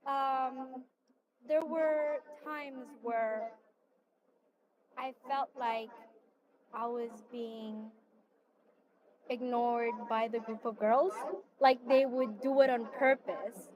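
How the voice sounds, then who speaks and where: A woman's voice: fairly high pitch, steady tone, extremely slow, pauses often. A woman from Italy whose first language is Italian, speaking English with an Italian accent and telling a story in chatty, laughing radio talk. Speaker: radio chat show co-host. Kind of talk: casual chat. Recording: muffled audio with crowd noise.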